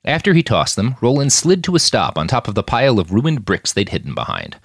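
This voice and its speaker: A man narrating fiction in an expressive audiobook style, acting out the dialogue as he reads. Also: medium pitch, brisk, no room sound, clean studio sound. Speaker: fiction podcast author and narrator.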